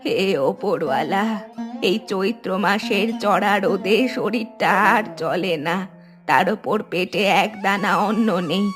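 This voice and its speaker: A woman voicing a poor, worried old grandmother who laments in a weary, low voice in a cartoon story. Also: measured pace, natural voice. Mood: lamenting. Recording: soft background music.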